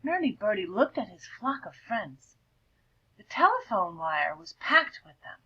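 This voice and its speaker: Woman teacher, read-aloud for kids; breathy tone, lively sing-song pitch, gentle prompting questions. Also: muffled recording. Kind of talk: reading a picture book aloud to a class.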